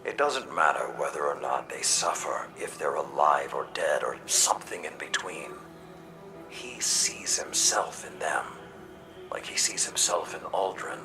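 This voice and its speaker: A battle-hardened old game commander with an extremely low, gravel-edged voice. He is stern and solemn, weighing every word at a slow, measured pace. Music plays behind him. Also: animated, heavily acted. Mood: frustrated, brooding. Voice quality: deep, gravelly. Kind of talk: dramatic video game dialogue.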